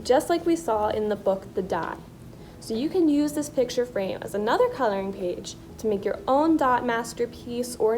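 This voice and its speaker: A woman's voice: a little high, a little mellow, slight background noise. A woman art teacher giving children simple, encouraging step-by-step instructions.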